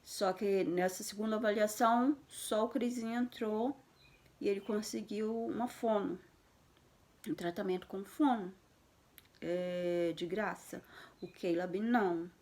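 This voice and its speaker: A Brazilian woman in her thirties talks straight to her viewers with a Brazilian Portuguese accent. She speaks at an ordinary middle pitch. She keeps it loose and conversational, backing up to restart phrases and tossing in little tag questions. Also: steady tone, measured pace, a little mellow. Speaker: vlogger, mother of twins. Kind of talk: casual talk to camera.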